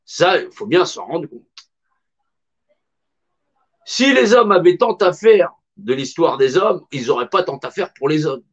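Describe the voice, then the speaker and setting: A man's voice: a little high, big pitch swings, measured pace, pauses often. A middle-aged man giving an impassioned, emphatic monologue in a colloquial, repetitive way. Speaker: speaker. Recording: dull lo-fi recording.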